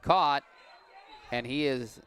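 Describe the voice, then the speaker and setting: A man doing play-by-play for a high school football game, calm and unhurried, his voice a bit worn and cracking. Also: smooth, a faint crowd. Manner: calm, unhurried play calling with easy laughs. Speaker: play-by-play announcer.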